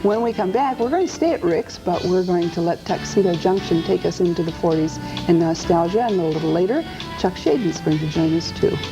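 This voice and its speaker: A woman's voice: on the low side, lively intonation, fairly quick, over music, rough recording. A woman hosting a television talk show, gracious and upbeat as she introduces guests and asks questions.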